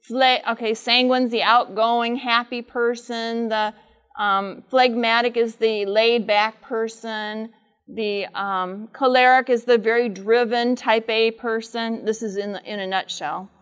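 A middle-aged woman speaks at a live conference with a loud, fairly high voice. She keeps turning to the audience with questions and pushes them to answer. Her delivery is a teacher's, direct and projected. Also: fairly even intonation, unhurried, smooth. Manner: asks the audience frequent questions; says she has a loud voice. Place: large conference room with air conditioner.

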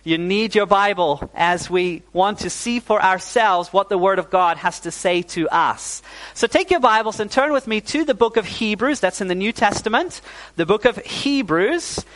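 Young man from New Zealand, fairly high voice, a pastor in mid-sermon with a warm, chatty delivery full of "uh"s. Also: lively intonation, moderate pace, low-quality recording. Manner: warm, fillers like "uh", calls for "amen". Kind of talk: sermon to a congregation.

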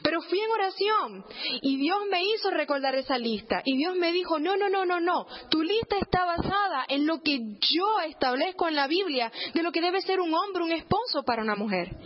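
A woman with a Puerto Rican accent speaks in a high voice as she gives a personal church testimony. Her delivery is earnest, leaning on repeated words for emphasis. The recording sounds dull and a little muffled. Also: lively intonation, normal speed. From Puerto Rico. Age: 30s or older.

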